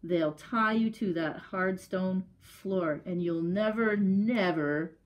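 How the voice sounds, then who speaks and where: A woman's voice: fairly low pitch, slow, lo-fi sound. A woman reading the lines of a kind but firm farm boy in a children's storybook. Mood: earnest. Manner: plain quoted speech in storybook reading.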